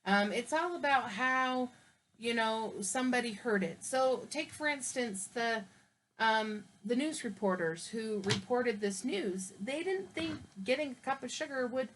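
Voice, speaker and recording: Woman storyteller for kids, gentle, explaining, slightly high voice. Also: animated, unhurried, slight background noise, rough recording. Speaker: teacher reading aloud to children. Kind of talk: casual read-aloud commentary.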